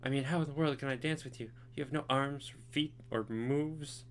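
Man doing a gentle young princess, lifted soft voice, polite, game dub by a let's player. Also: mid-range voice, steady tone, normal speed, voice pushed up, lightly acted.

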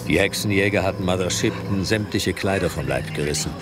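A man's voice: low voice, brisk. A man narrating a horror audio drama from a script in a dramatic storytelling style. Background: loud music.

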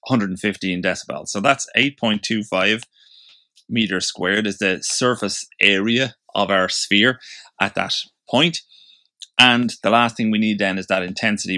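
A man's voice: lowish voice, sharp tone, dry recording. A man giving an informal tutorial, explaining step by step as he thinks aloud. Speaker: physics tutor. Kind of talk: informal explanatory lesson, working through a problem.

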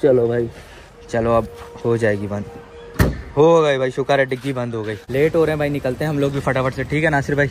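A man's voice: medium pitch, fairly quick. Young guy with a scratchy throat vlogging, camera held out, traffic and engine noise all around. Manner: excited, calls out loudly when flustered. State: sore throat. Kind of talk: casual travel vlog chat. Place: outdoors in a yard, then inside a car. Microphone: handheld camera at arm's length. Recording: noisy street and car background.

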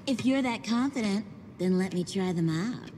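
An actress playing a cocky, bloodthirsty young fighter in a video game, taunting and playfully menacing. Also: female voice, mid-range voice, lively intonation, normal speed, warm tone, natural voice. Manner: taunting, brash. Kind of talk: video game cutscene voice acting. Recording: reverberant hall, clearly noisy background.

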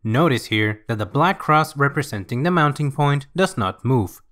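A man reading scripted software tutorial narration in an instructional, measured way. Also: medium pitch, lively intonation.

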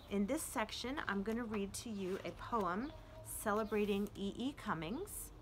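A woman hosts a children's read-aloud in the manner of a librarian. Her pitch is medium and her pace is slow, with careful phrasing and pauses. She is recorded outdoors on a porch, with faint ambient noise behind her. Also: fairly bright tone. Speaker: children's librarian and story-time host. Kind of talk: children's poetry read-aloud with informal introduction.

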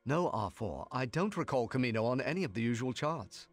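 A man's voice: medium pitch, sing-song, fairly quick, very noisy, close to an everyday voice. A man playing a composed, polite Jedi knight in a video game, with a courteous, authoritative manner. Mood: puzzled. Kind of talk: video game cutscene acting.

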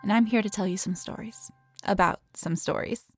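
A woman's voice: medium pitch, normal speed. A woman hosting a storytelling show, reading scripted narration. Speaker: host. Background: plucked guitar music.

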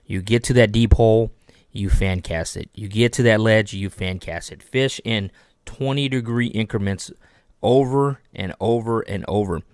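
Man, thirties, from Texas, fishing host, animated repetitive coaching, home studio, desk mic, fairly low voice. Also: normal speed, no room sound. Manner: animated, repetitive coaching with lots of hand gestures. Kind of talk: instructional video talk to camera. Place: small home studio room, indoors. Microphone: desk microphone on boom arm.

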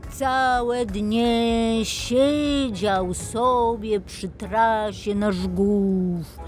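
A woman's voice: mid-range voice, darkish tone. A woman voicing a dreamy toy digger who tells history like an old fairy tale for children. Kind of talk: children's audio tale acting.

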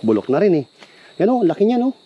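A man vlogging as he walks through an overgrown yard, chatting to viewers as he guides them along, sometimes going quiet and hushed. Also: high voice, big pitch swings, quick pace, dull audio, a little hiss or hum. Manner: chatty, guiding viewers, hushed when uneasy. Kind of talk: casual tour narration. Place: overgrown rural yard outdoors.

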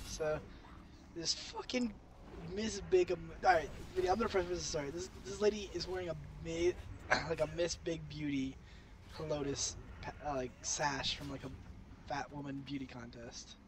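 A man's voice: on the high side, moderate pace. A teenage man with a cold, chatting and telling stories bluntly with lots of swearing as a gaming channel co-host. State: sick with a cold. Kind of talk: casual profane chat and storytelling over gameplay. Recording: clearly noisy home game recording.

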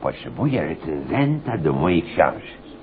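An actor playing a blustery, greedy school inspector and old-soldier braggart in a satirical radio comedy, stammering and repeating himself. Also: male voice, very deep voice, sing-song, normal speed, a crowd in the background. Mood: scheming, excitable. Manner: stammering, repetitive, erratic, exclaiming. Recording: dull narrow-band radio recording, audience behind.

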